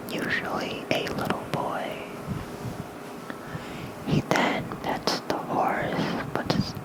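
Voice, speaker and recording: Very soft, slow ASMR whisper. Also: low-quality recording. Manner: slow, gentle, hushed reading. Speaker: ASMR creator reading aloud. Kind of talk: whispered ASMR bedtime lore reading. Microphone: close microphone with fluffy windscreen.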